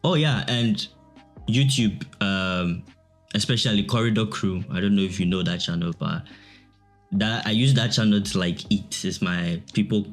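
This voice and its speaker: A young man with a Ghanaian accent answers questions in a relaxed, chatty way, often breaking into laughter. His voice sits fairly low. Soft music plays faintly behind him. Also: moderate pace. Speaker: guest, digital artist. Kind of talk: casual interview chat.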